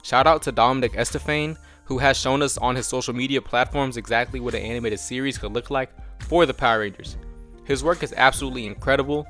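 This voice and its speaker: A man's voice: mid-range voice, fast talker, fairly bright tone. Casting: young American male video essayist, mid-range voice, loose slangy humor with some cursing, music bed behind. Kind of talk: casual scripted commentary.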